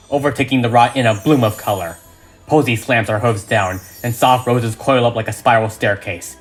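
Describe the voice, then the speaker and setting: Male narrator for audio drama: bright, punchy, theatrical script read, light music underneath. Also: medium pitch.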